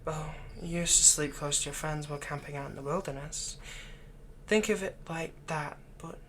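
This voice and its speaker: Man playing a soft, breathy, possessive villain. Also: a little high, steady tone, normal speed, a little hiss or hum, close to an everyday voice. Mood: gentle, reassuring. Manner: soft, soothing, often dropping to a breathy whisper. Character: possessive young male fantasy villain, tenderly soothing his captive. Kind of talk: ASMR roleplay, intimate scripted monologue.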